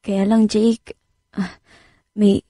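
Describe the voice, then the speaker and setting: An actress with a Filipino accent playing an anxious, practical wife in a radio drama, probing and gently pleading in a conversational way. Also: female voice, mid-range voice, level tone, drawn-out words, dry recording, clean studio sound. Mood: worried, careful.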